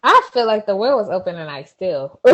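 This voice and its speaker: Casting: twenties woman guest, easygoing, laughs often, muses out loud. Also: medium pitch, very animated, a little slow, a little hiss or hum. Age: 20s. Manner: laid-back, laughs often, thinks out loud. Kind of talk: casual chat.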